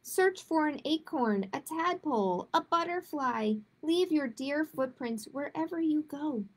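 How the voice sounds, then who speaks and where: A woman hosting a children's storytime, reading picture books aloud and chatting to families in an expressive way. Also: sits high, a little slow. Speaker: children's librarian, storytime host. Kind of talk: picture book read-aloud and chatty announcements to young families.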